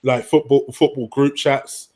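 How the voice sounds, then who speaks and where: A man's voice: mid-range voice, level tone, measured pace, dark tone. A man hosting a football fan livestream, chatting informally and matter-of-factly to his viewers in a rambling, sweary way. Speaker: host of a football fan show.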